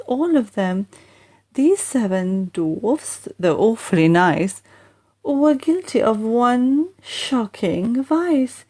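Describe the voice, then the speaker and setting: A woman reading children's verse aloud in an expressive, theatrical, deliberate storytelling style with emphatic delivery. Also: fairly high pitch. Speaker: audiobook reader.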